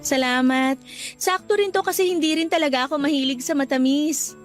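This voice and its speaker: Woman playing gentle young female office worker, Filipino accent, high-pitched, anxious, radio drama, faint music. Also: a little fast, close to an everyday voice. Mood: shy, friendly.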